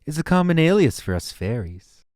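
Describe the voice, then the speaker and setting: A man's voice: a little high, sing-song, darkish tone. A man playing a smugly nonchalant fairy witness in an audio drama, speaking in a genteel, arch, theatrical way. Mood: coy, amused. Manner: genteel, arch, drawn-out phrasing.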